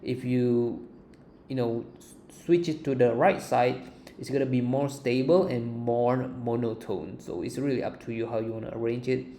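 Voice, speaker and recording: A man presenting an informal software walkthrough as a tutorial host. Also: mid-range voice, normal speed. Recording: faint background noise.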